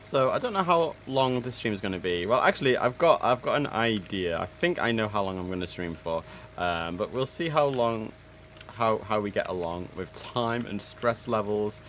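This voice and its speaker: A man's voice: lowish voice, animated, moderate pace, fairly bright tone. A young man streaming an air traffic control game, chatty and enthusiastic with lots of fillers, sniffly from hay fever. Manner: chatty and enthusiastic, many fillers and self-corrections. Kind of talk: casual live-stream commentary.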